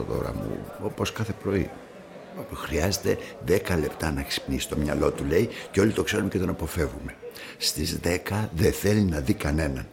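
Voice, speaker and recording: A man's voice: low voice, vocal fry, exaggerated voice. An actor playing an older, fatherly coffee-shop man in a radio drama, chatty but evasive, with hesitant, repetitive, familiar speech. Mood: confiding. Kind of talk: naturalistic radio drama dialogue.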